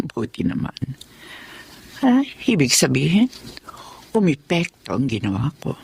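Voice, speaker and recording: An actor playing a vengeful, scheming parent who gloats bitterly in a husky, gravelly radio drama monologue. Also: male voice, mid-range voice, a bit bright, heavily acted.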